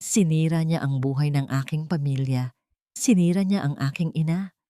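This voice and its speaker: Low-voiced woman narrating a dramatic story. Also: normal speed, dark tone, dry recording, clean studio sound. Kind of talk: dramatic first-person scripted story narration.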